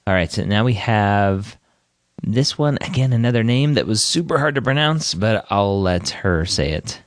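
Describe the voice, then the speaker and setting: A man's voice: lowish voice, expressive, moderate pace, no echo, studio quality. A man hosting a podcast, introducing interviews in an upbeat, encouraging way. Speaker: podcast host.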